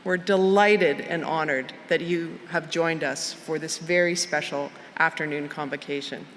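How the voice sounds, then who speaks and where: A middle-aged woman with a bright, clear tone speaks in a measured, formal way as chancellor opening a university convocation. She talks at a podium microphone in an outdoor plaza. The sound carries a long reverb from the PA, with faint crowd ambience behind her. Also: on the low side, a little hiss or hum. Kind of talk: formal ceremonial address.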